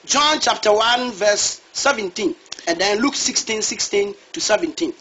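Male preacher, very high and lively delivery, dull lo-fi recording. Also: normal speed. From Ghana. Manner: switches between Twi and English, asks a reader to read verses. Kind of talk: sermon.

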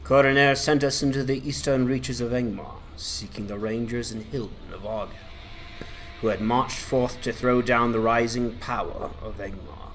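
A man's voice: medium pitch, fairly even intonation, moderate pace, a bit bright, rough recording. A man giving scripted narration of a fantasy quest in the role of the traveling hero. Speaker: narrator and player character.

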